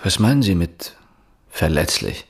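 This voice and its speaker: Radio drama: persistent police inspector, quiet and probing, gravelly, with an expressive melody. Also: male voice, sits low, heavily acted. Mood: quietly insistent. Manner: probing, measured, short questions. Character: persistent, soft-spoken male police inspector questioning witnesses. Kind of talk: German radio drama acting, quiet interrogation.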